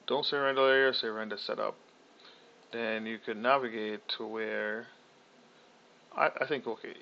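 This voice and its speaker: A young man casually talking through a software tutorial, thinking aloud with fillers and restarts. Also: fairly low pitch, vocal fry, slight background noise. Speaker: tutorial host. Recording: slightly dull lo-fi audio.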